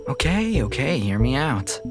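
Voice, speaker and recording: A man playing a flirtatious, slightly anxious scientist in a visual novel, with a soft teasing delivery. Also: mid-range voice, sing-song, unhurried. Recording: loud music behind the voice.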